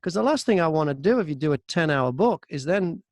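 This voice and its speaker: A man with a fairly high voice talks casually as a guest in an interview. He tells work stories in a conversational way, voicing bits of remembered exchanges. The recording is dry, with very little room sound. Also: animated, a little fast, a little mellow, dry recording. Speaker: interview guest, audiobook narrator and voice actor.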